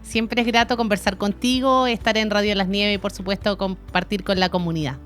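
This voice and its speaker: A woman's voice: medium pitch, fairly even intonation, fairly quick, fairly bright tone, soft background music. A Chilean woman in her thirties, a guest on a radio show, telling her life story in a chatty, colloquial way with easy laughter. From Chile, Aysén region. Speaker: guest, city councillor and radio announcer. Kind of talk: casual radio interview. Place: radio studio.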